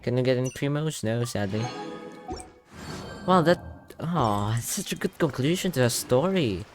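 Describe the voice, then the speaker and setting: A young man giving casual game reaction commentary, excitable and thinking aloud. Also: medium pitch, normal speed. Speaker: gaming YouTuber. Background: game music.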